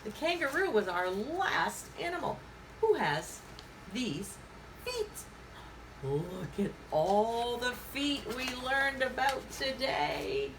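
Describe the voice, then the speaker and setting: Female children's educator, unhurried and very lively in intonation, simple encouraging instructions for little listeners, faint noise behind. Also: mid-range voice. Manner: simple encouraging phrasing with questions to young listeners. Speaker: children's story time host and educator. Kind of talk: reading and activity instruction for young children.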